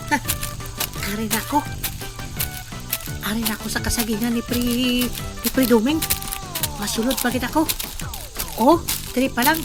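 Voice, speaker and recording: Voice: medium pitch, close to an everyday voice. A performer voicing a hungry, impish, vengeful thief in a comedic audio drama, muttering and exclaiming giddily to themself. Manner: exclamatory, giggly self-talk.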